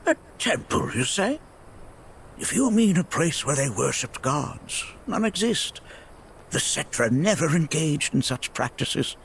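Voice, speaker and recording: An actor playing a kindly, absent-minded old sage in a video game, rambling and musing theatrically. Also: male voice, fairly high pitch, big pitch swings, measured pace, fairly bright tone, a little hiss or hum, exaggerated voice. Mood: scholarly. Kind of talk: video game character acting.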